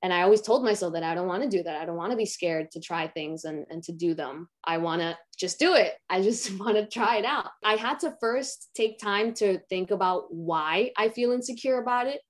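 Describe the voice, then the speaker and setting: A young woman, an actress, with a raspy, low voice, talking candidly and reflectively in a casual interview, with many "like" fillers. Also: fairly quick, hardly any pauses. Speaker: actress guest.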